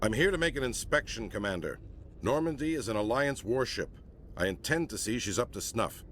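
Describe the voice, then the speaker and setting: An actor playing a gruff, disapproving middle-aged admiral in a video game, blunt and stern in the way he talks. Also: mid-range voice, expressive, fairly quick, fairly bright tone, a little hiss or hum, lightly acted. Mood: irritated. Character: gruff, disapproving senior space navy admiral inspecting a warship.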